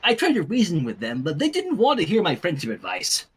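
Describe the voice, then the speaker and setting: A man puts on a young female unicorn princess from a cartoon fan story. She is chatty and frazzled, pitched high with very animated swings and a slightly husky edge. She sounds apologetic yet nonchalant. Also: a little fast, heavily acted. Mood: nonchalant, weary.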